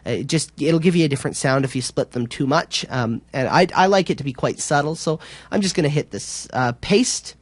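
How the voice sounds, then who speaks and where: A man presenting a software tutorial in a casual, informal way, explaining step by step a little hesitantly. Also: on the high side, fairly quick, no echo.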